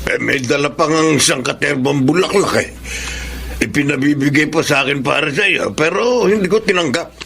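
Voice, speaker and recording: Nagging pinoy dad in a radio drama, gravelly voice kinda on the high side, blunt and pushy. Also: male voice, a little fast, fairly bright tone, slight background noise. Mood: chatty, disapproving. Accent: Filipino. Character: blunt, pushy father of a grown daughter.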